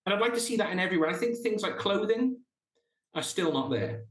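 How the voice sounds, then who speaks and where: A Welsh man with a fairly high voice, talking earnestly as a podcast guest and restarting his thoughts often. The room around him rings noticeably. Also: lively intonation, a little fast, fairly bright tone, roomy sound. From South Wales. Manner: earnest, with frequent restarts and stumbles. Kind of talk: casual interview chat.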